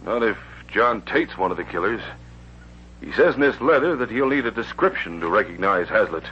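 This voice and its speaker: An actor playing a cautious, practical middle-aged sheriff in a radio western, plain-spoken and folksy. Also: male voice, lowish voice, lively intonation, moderate pace, a bit bright. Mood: skeptical, cooperative. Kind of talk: 1950s radio western acting. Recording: hissy, dull 1950s radio recording.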